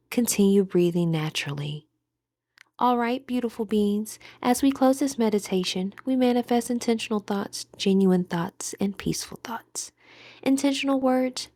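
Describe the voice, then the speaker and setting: A woman guiding a meditation in a soothing, deliberate manner with gentle pauses. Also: on the high side, no echo. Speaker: meditation guide. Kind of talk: guided meditation narration.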